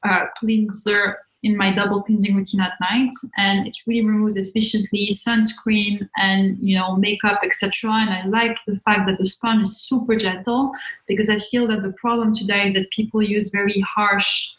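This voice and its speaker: A woman's voice: mid-range voice, flat delivery, moderate pace, fairly bright tone. A woman hosting a podcast, chatting casually and effusively as she interviews and reviews products. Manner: effusive, informal. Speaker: podcast host. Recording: roomy, slightly dull sound.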